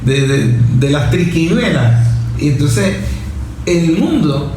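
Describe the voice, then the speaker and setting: A man's voice: on the high side, sing-song, slow pace, warm tone. A man with a Venezuelan accent explaining politics in a conversational discussion, repeating key phrases for emphasis. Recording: very echoey room with faint noise.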